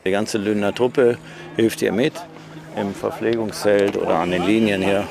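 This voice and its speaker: A man's voice: lowish voice, fairly even intonation, normal speed, gravelly, music in the background. A man, one of the contest organizers, speaking in a friendly, announcing way.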